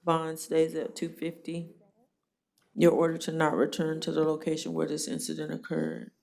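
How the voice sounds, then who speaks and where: A woman judge running a jail court hearing, brisk and plainspoken with a dry joking streak. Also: deep voice, monotone, vocal fry, a little mellow.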